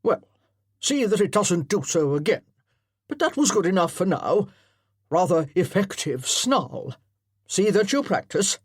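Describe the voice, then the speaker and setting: A narrator voicing an older retired colonel who barks orders at amateur actors in a loud parade-ground manner. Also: mid-range voice, sing-song, measured pace, long pauses, slightly rough, no room sound, studio quality. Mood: commanding. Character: commanding older male colonel, drill-sergeant bark.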